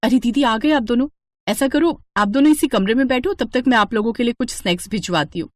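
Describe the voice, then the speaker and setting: A woman's voice: fairly high pitch, brisk, dry recording, lightly acted. A woman voicing a status-conscious, evasive wealthy wife in a cartoon moral story, with a placating manner. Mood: falsely polite. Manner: placating, excuse-making.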